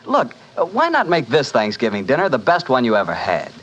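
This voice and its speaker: A man's voice: medium pitch, huge pitch swings, a little fast, a bit bright. An American man working as a 1940s radio announcer and host, reading a sponsor spot in a chatty, emphatic, salesmanlike way. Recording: dull 1940s radio recording.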